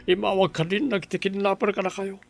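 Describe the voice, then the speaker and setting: A man's voice: high voice, level tone, fairly quick. A man playing a scheming uncle who plots a kidnapping and falsely comforts the family in a radio drama. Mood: falsely soothing. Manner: smooth, sly, falsely soothing. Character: sly uncle secretly plotting a kidnapping. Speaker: uncle, Manong Lito.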